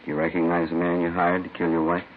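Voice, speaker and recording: An American actor playing a stern chief of detectives questioning pointedly in a 1950s radio police drama. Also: male voice, low-pitched, completely flat delivery, quick pace, close to an everyday voice. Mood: stern, probing. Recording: narrow, hissy 1950s radio recording.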